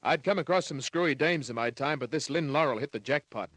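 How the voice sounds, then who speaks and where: An actor as a laconic hard-boiled private eye. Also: male voice, fairly high pitch, brisk, a bit bright, soft background music, natural voice. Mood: wry narrating. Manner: laconic, slangy, cynical. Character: wry, hard-boiled male private detective narrator. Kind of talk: old-time radio detective narration and dialogue.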